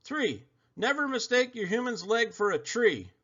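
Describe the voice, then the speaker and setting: A man's voice: extremely high pitch, very animated, measured pace, sharp tone, lo-fi sound, pitched above the performer's own voice, full character voice. A man voicing a blunt, talkative female pet dog who rambles on in a children's storybook. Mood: rambling, eager. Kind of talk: children's storybook read-aloud.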